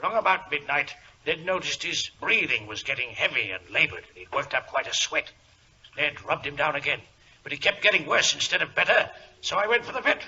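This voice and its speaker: An agitated British colonel in an old radio drama. His voice is fairly high and very sharp, blustery and emphatic, on a dull recording with faint noise. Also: animated, brisk, exaggerated voice. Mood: grave, recounting. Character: agitated gentleman colonel, a racehorse owner. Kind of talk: 1940s radio drama acting.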